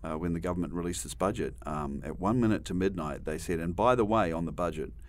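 A man's voice: low voice, fast. A middle-aged man from New Zealand with a New Zealand accent, a guest telling stories in a rambling way, with many restarts and dry humor. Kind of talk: conversational interview storytelling.

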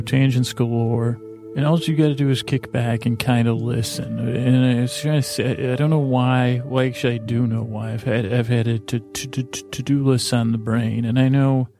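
A man hosting a bedtime podcast in a meandering, halting monologue, often stammering and interrupting himself in a self-deprecating way. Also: medium pitch, creaky. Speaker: podcast host.

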